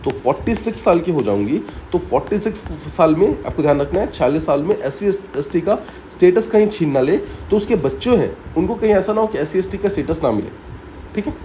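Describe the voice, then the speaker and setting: A man's voice: a little high, sing-song, brisk, dark tone, slight background noise, poor audio. A man teaching exam students by telling a story, explaining step by step and often repeating key points with short English asides. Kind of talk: story-based mnemonic lesson.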